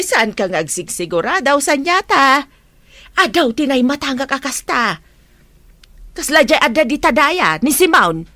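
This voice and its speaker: A woman acting as a nosy Filipino-accented auntie in a radio drama. She is high and sharp, teasing and meddling, drawing words out slowly with big swoops. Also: no room sound, heavily acted. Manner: gossipy, drawn-out, exclamatory.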